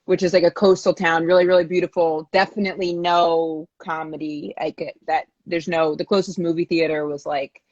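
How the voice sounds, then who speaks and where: A young adult woman from Maine chatting casually on a video call. Her voice sits a little low and comes through dull, lo-fi phone audio. She rambles, gets sidetracked and restarts her sentences. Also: level tone, moderate pace, hardly any pauses, a little mellow, no room sound. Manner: rambling, easily distracted, self-correcting. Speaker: comedian guest. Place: indoors at home.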